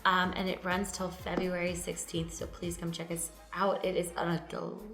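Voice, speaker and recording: A woman's voice: lowish voice, flat delivery, moderate pace, a bit bright, faint music behind. A woman who acts and stage manages, chatting casually to podcast listeners as she makes a plug. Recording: roomy echo with faint noise.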